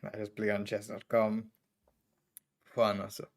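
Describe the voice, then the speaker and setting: Trinidadian guy streaming chess, muttering moves out loud in quick little bits, kinda low voice. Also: monotone, creaky. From Trinidad and Tobago. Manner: thinking aloud, calling out moves in short bursts. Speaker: chess master streaming game reviews. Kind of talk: live commentary on chess games.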